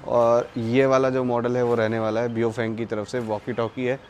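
A man's voice: medium pitch, flat delivery, normal speed, darkish tone, slight background noise. A young man gives a casual, explanatory product review in a conversational way, mixing in English words. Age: young adult. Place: outdoors, terrace and courtyard.

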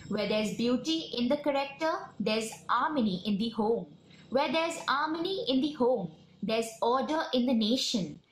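A young woman from India with an Indian accent, reciting a poem in a measured, declamatory way. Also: a little high, animated. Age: young adult. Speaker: poem presenter. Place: small room at home. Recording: roomy home recording with noise.

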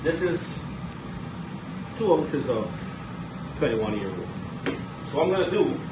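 A man's voice: on the high side, very animated, a little slow. A man in his forties with a Guyanese accent, chatting casually as he presents a home cooking vlog. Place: home kitchen, indoors. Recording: noisy kitchen, loud appliance hum.

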